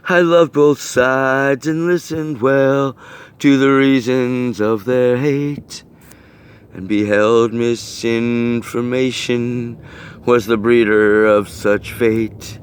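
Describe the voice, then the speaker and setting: A man reading his own rhyming poem aloud in an earnest, deliberate and rhythmic delivery. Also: medium pitch, steady tone, clear, resonant tone. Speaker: poet reading own work. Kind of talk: recited rhyming poetry reading.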